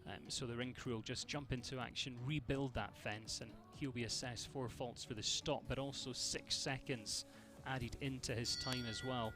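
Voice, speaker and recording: A man's voice: mid-range voice, fairly even intonation, moderate pace, few pauses, over music. A man from Scotland with a Scottish accent, giving chatty live commentary on a show jumping event and greeting viewers by name.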